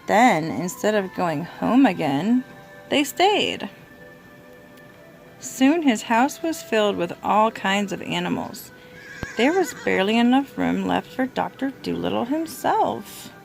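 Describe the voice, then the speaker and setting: Creaky-voiced woman reading a storybook aloud. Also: medium pitch, very animated, slow pace, faint music behind. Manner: gentle questioning and prompting of a child. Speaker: mother reading to her toddler. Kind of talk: children's storybook read-aloud.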